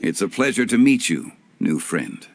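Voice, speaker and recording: A man voicing a refined, courteous consultant in a game, measured, formal and composed. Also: lowish voice, very animated, gravelly, exaggerated voice. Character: refined male funeral consultant, calm and courteous. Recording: game cutscene with soft music behind.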